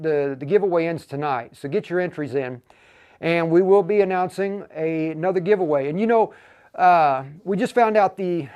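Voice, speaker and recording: An American man hosting a livestream in a casual, folksy, informal way, talking in a halting flow. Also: on the high side, a little mellow, clear, resonant tone. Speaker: livestream host for a radio equipment seller.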